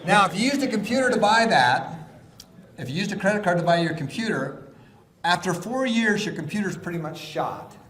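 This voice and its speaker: Energetic joking male seminar host. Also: high-pitched, fairly quick. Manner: energetic, joking, drives the crowd. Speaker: seminar presenter. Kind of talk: live audience seminar with games. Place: large hall with live audience. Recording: echoey hall with crowd noise.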